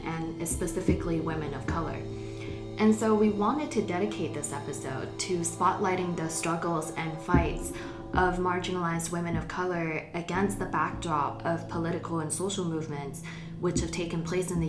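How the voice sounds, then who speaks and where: Measured young woman podcast host. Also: lowish voice, fairly even intonation, over music. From Hong Kong. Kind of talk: scripted podcast narration. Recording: echoey room with faint noise.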